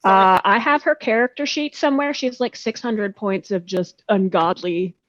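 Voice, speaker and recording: A woman's voice: medium pitch, normal speed, a bit bright, no echo. Casual woman with slightly muffled sound. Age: 30s. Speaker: guest voice actor. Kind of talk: casual chat. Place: indoors, on a video call.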